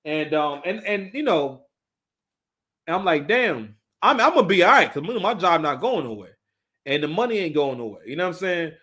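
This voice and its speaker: A man's voice: fairly high pitch, big pitch swings, normal speed, bright, forceful tone. A man in his thirties giving casual, unscripted live commentary, talking emphatically, repeating his phrases and swearing freely. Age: 30s.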